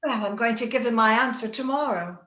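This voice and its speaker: A woman playing a proud, willful young gentlewoman torn by romance, theatrical and emotional in old-time radio drama style. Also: fairly high pitch, fairly quick. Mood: excited, evasive. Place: indoor room, recorded remotely over video call. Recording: roomy, dull video-call recording.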